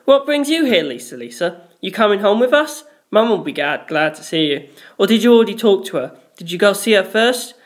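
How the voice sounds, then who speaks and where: A woman's voice: medium pitch, very animated, a little fast, voice pushed up, lightly acted. A woman voicing an awkward, nervy teenage boy who is defensive and blurts things out in a flustered way. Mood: nervous.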